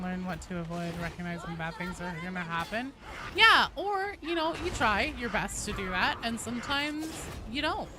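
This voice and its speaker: A woman game streamer chatting casually with viewers as she plays, blunt, sweary and given to dramatic emphasis, with game sounds behind her. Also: a little high. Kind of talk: casual live gameplay commentary, chatting with viewers.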